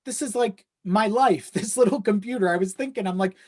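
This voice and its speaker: A man's voice: high-pitched, fairly quick, darkish tone. A man chatting casually as a podcast guest, often checking in with the listener as he goes. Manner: conversational, frequent you-know check-ins.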